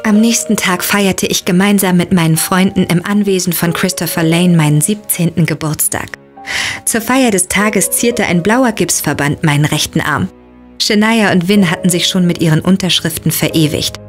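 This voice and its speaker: An actress playing an earnest, emotional seventeen-year-old heroine who also narrates a German audio drama. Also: female voice, lowish voice, normal speed, faint music behind, natural voice. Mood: narrating.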